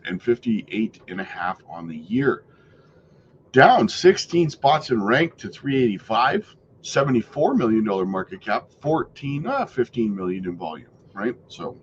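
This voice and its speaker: A man's voice: on the low side, dull audio, slight background noise. A man hosting a casual crypto market update, sick and stuffy with a worn-out voice, talking chattily and checking in with his viewers. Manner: chatty, keeps checking in with "right?" and "guys". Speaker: crypto channel host.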